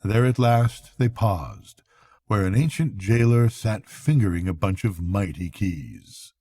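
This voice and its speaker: An older man narrating a bedtime story aloud as a storyteller, with measured, dramatic phrasing. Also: on the low side, animated, dark tone, breathy edge, no room sound. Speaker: storyteller, grandfather reading aloud.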